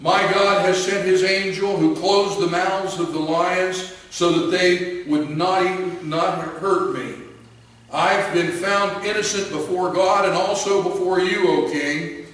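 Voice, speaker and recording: A man's voice: sits high, steady tone, a little slow, fairly bright tone, very echoey, distant mic, pitched above the performer's own voice, low-quality recording. A man voicing a humble faithful prophet. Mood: earnest. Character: faithful calm male prophet, humble and firm.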